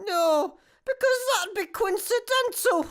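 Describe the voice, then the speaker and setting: A Scottish woman playing a cheeky, deadpan petrol station attendant in a sci-fi comedy radio play, dry and sarcastic. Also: very high voice, slow pace, heavily acted. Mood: chatty, dry. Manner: dry, self-aware, sarcastic.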